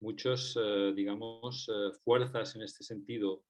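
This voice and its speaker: A man's voice: fairly low pitch, fairly even intonation, slow pace, a little mellow. A man with a Catalan accent, a researcher speaking as a debate guest, hesitant with many fillers and restarts. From Catalonia, Spain. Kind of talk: debate talk.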